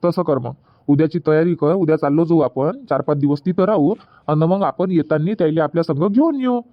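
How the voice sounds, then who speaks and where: A man's voice: a little high, brisk, dry recording, muffled recording, close to an everyday voice. A man voicing a young husband in a comedy cartoon, explaining plans at length with a Varhadi Marathi accent. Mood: planning. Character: young husband Suraj, easygoing son.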